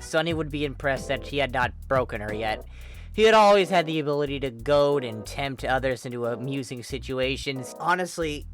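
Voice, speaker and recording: Someone reading a funny fanfic, wry and dramatic, mid pitched voice, game music clearly behind. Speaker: fan fiction reader. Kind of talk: expressive comedic story narration. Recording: background music under the voice. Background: video game style music.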